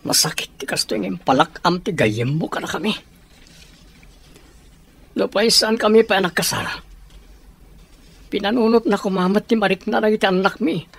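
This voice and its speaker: A man's voice: high-pitched, lively intonation, moderate pace, long pauses, fairly bright tone, exaggerated voice. An actor playing a heartbroken middle-aged father in an Ilocano radio drama, speaking emotionally and pleadingly with an Ilocano accent. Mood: bitter, hurt.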